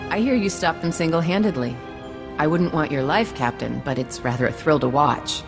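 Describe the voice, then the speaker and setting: An actress playing a poised, persuasive senator in a video game, speaking formally. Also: female voice, deep voice, moderate pace, music in the background. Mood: intrigued. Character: poised, persuasive female galactic senator, adventurous. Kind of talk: video game cutscene acting.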